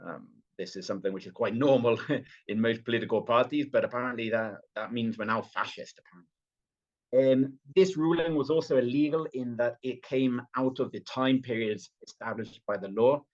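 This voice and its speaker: A man's voice: medium pitch, brisk, a little mellow, dull audio. A British man with a slight Venezuelan tinge in his accent, talking as a guest on a podcast. He speaks fluently but with many fillers and restarts. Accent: British, with some Venezuelan influence. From Britain. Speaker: guest, party official. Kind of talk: remote podcast interview.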